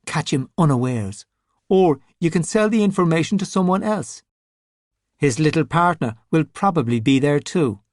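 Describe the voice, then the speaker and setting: A man's voice: a little high, lively intonation, moderate pace, no echo, studio quality, lightly acted. A man voicing a vain, scheming zombie man who speaks smugly and peevishly. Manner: smug, wheedling, peevish. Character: scheming undead male zombie, vain and sly.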